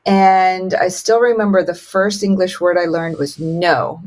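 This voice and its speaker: A woman's voice: lowish voice, steady tone, unhurried, darkish tone. A woman in her thirties, raised in China with Mandarin as her first language, telling her life story as a podcast guest, acting out quotes with big jumps in her voice.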